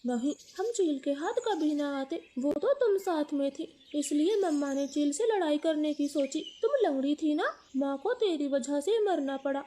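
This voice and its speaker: A woman's voice: high-pitched, animated, normal speed, hardly any pauses, mellow, a little hiss or hum. A woman voicing a selfish, callous young brother bird in a cartoon story, scolding and accusing.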